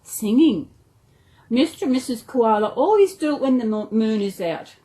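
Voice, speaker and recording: A woman's voice: on the high side, animated, normal speed, mellow, close to an everyday voice, poor audio. A woman voicing a complaining elderly lady flower in a children's storybook. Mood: grumbling. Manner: complaining, grumbly.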